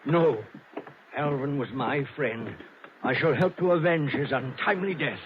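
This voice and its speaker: A man playing a prim, melodramatic, excitable gentleman in 1950s radio drama, with a fussy, formal delivery. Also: on the high side, a little slow, loud music behind the voice, natural voice. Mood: solemn. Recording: muffled, noisy old radio recording.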